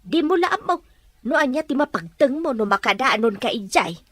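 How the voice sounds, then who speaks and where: Woman acting a high-voiced, concerned older housemaid. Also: very animated, moderate pace, no echo. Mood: uneasy. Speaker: household helper. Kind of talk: Ilocano radio drama acting.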